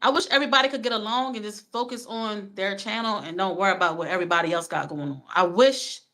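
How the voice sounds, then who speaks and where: A woman's voice: mid-range voice, fast, fairly bright tone. A woman from Maryland with a US East Coast accent, co-hosting a casual livestream and repeating her phrases for emphasis. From small town in Maryland, United States. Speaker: co-host of a livestream.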